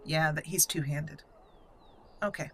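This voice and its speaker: A woman's voice: low-pitched, a little slow, a bit bright, slight background noise. A woman hosting a casual game walkthrough, thinking aloud in short fragments as she plays. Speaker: gaming channel host.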